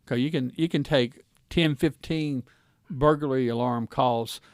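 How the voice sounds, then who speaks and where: A man's voice: mid-range voice, unhurried, dry recording. Middle-aged American male host, mid-range voice, colloquial and folksy questioning, very dry close sound. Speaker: guest host, former law enforcement officer. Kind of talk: casual interview chat.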